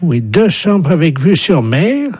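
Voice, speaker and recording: An actor playing a befuddled elderly husband on a prank phone call, husky, politely insistent and stubbornly mishearing everything. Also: medium pitch, sing-song, slow, darkish tone, exaggerated voice. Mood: obtuse, cheerful. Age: older.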